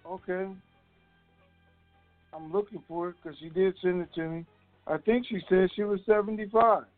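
Dull-sounding call line, higher-pitched man. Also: fairly even intonation, moderate pace, no room sound, soft background music. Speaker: conference call host. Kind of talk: informal monologue to call listeners.

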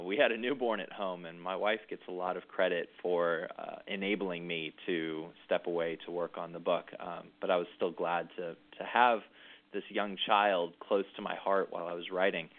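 A low-voiced man talks reflectively, stopping and restarting his sentences as he thinks. The sound is thin and phone-like. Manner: thoughtful, halting, frequent restarts. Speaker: author interviewed about his book. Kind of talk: reflective conversational interview. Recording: tinny phone-like line.

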